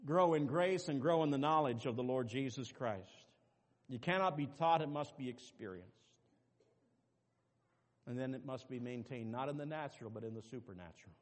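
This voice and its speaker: A man preaching a sermon as pastor, dropping to quiet asides and rising to loud, emphatic calls to the congregation. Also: mid-range voice, normal speed. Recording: long reverb with faint music behind.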